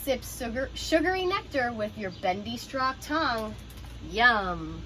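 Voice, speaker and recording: A woman reading a children's picture book aloud like a teacher, with expressive, instructive delivery. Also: on the high side, unhurried. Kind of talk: children's storybook read-aloud with explanatory asides.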